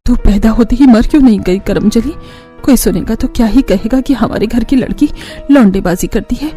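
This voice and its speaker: A woman's voice: a little high, normal speed, mellow, soft background music, close to an everyday voice. A woman playing a harsh middle-aged mother in a family cartoon, stern and reproachful. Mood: angry scolding. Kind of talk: Hindi cartoon family drama acting.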